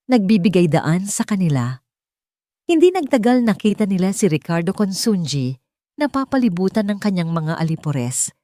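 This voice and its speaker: Woman, Filipino accent, lower-pitched voice, dry close sound, scripted romance audiobook narration, measured and dramatic. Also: a little mellow, no room sound.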